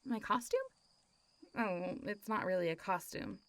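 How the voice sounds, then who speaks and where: A woman's voice: medium pitch, normal speed, fairly bright tone, no room sound, close to an everyday voice. Friendly, playful zombie girl, acted by a woman. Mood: hesitant, playful. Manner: chatty, teasing, frequent giggles and sighs, hesitant asides. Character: friendly, playful centuries-old female zombie monstergirl. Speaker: voice actor. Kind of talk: roleplay audio addressed to one listener.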